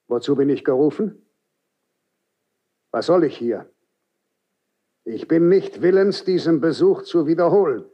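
An actor playing an aged, unyielding Grand Inquisitor in a classical German radio drama, speaking in a severe, measured, declamatory and reproachful way. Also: male voice, on the high side, darkish tone. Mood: stern, commanding. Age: older. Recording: dull 1970s radio drama recording.

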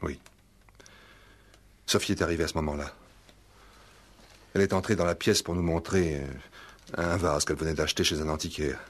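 Nervous gentleman suspect in radio play; very low, rough-edged voice, hesitant and evasive. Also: fairly even intonation. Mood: halting, confessing. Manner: hesitant, halting, repeats words. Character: nervous, evasive professional man under suspicion. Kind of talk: 1960s French radio play acting.

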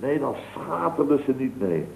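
A man's voice: mid-range voice, very animated, a little slow, gravelly, dark tone, slight background noise. A man playing a boastful, rambling contractor in a 1960s radio comedy. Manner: rambling, boastful, full of tag words. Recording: tinny, echoey old radio broadcast.

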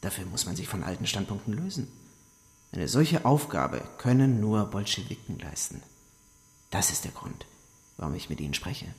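An actor in a German radio drama playing a menacing Soviet secret police interrogator, formal and insistent. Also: male voice, lowish voice, very animated, moderate pace, gravelly. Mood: coldly persuasive. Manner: insistent, coaxing, formal bureaucratic address. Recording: long echoing hall reverb.

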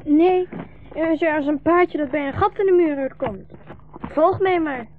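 An eager, trusting young boy in a sixties radio drama. The voice is high and childlike, heard through a thin, phone-like vintage recording. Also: measured pace. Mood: eager, pleading. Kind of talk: 1960s radio drama acting.

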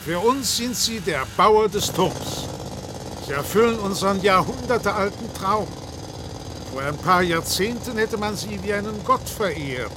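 Evasive male official, high voice, formal, composed, radio drama, clear traffic noise. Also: expressive, measured pace. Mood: composed, reverent. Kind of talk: radio play dialogue.

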